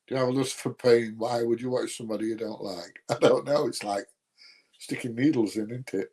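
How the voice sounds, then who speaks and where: A man's voice: mid-range voice, fairly even intonation, fairly quick, darkish tone, slightly rough. A man with a Nottinghamshire accent that sounds close to Yorkshire, hosting a livestream with casual, blunt, drily grumbling chat. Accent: Nottinghamshire, Yorkshire-sounding English. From Nottinghamshire, England. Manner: blunt, colloquial, grumbling dry humour. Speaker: livestream host. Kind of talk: casual chat answering live viewer comments.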